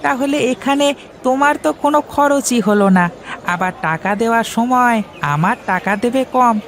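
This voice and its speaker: A man's voice: very high-pitched, moderate pace, fairly bright tone, a little hiss or hum. Cartoon shopkeeper, played by a man: crafty, grasping, wheedling haggler, village merchant type. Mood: sly, wheedling. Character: sly, money-minded male village fish-feed shopkeeper. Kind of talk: Bengali moral-story cartoon dubbing.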